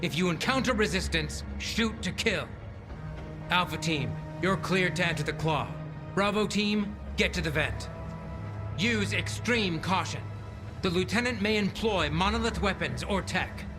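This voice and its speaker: A man playing a gruff, blunt, foul-mouthed military commander in a video game cutscene. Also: high-pitched, a little slow, over music. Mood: commanding.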